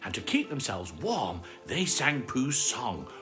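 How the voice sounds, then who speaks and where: A playful storytime reader with a mid-pitched voice that swoops dramatically. The delivery is live and slightly stumbling, with faint music behind it. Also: big pitch swings, slow pace, a bit bright. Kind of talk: animated children's story reading with asides to viewers.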